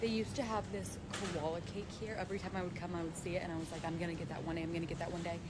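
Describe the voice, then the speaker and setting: A woman's voice: fairly low pitch, flat delivery, rapid-fire, background noise. A woman from the United States chatting and giggling while filming a walk through a supermarket. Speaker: vlogger. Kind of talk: casual walk-around vlog.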